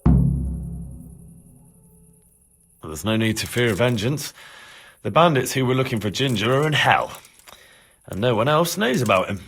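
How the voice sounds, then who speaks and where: A man's voice: medium pitch, lively intonation, a little slow, long pauses, fairly bright tone, husky, soft background music, full character voice. An actor playing an earnest, plain-spoken young peasant man-at-arms in a video game, explaining himself respectfully. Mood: reassuring. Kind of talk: video game cutscene acting.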